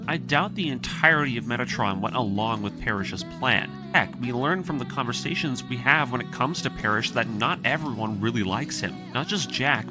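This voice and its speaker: Casting: male video essayist, medium pitch, earnest and opinionated scripted narration with casual asides, music bed underneath. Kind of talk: scripted retelling and analysis narration. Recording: music behind the voice. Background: background music.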